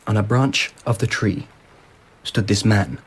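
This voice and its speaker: Brief: husky, indulgent emperor, expansive and eager. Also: male voice, lowish voice, fairly even intonation, unhurried. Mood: enthusiastic. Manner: expansive, enthusiastic anecdote-telling. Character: curious, indulgent male emperor of old Japan. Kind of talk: BBC radio drama acting.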